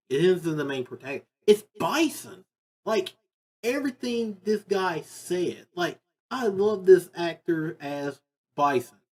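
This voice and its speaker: A man's voice: on the high side, husky. A man giving casual, unscripted commentary as a video host, rambling and emphatic, often repeating his phrases. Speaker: video host ranking video game movies.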